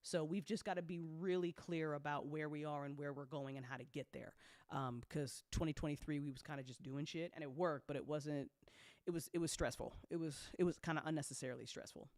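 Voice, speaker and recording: A woman's voice: deep voice, fairly even intonation, brisk, a little mellow, no echo, clean studio sound. A woman talking casually and off the cuff as an audio drama producer updating fans, candid, colloquial and full of swearing, emphatic when she makes a point.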